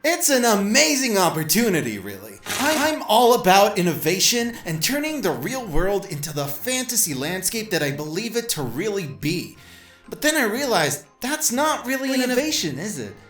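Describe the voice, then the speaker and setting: A man's voice: high-pitched, sing-song, moderate pace, fairly bright tone, echoey room, soft background music. A man playing a pompous, deluded tech mogul's AI autoresponder in a comedy audio drama, rambling with airy self-importance. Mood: smug, rambling.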